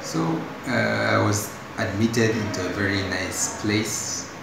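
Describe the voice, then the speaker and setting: A young man from Tanzania with a Tanzanian accent, telling his story as a patient in a hospital room, halting and full of restarts. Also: lowish voice, steady tone, creaky. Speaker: patient giving testimonial. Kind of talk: unscripted spoken testimonial. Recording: very echoey room with audible noise.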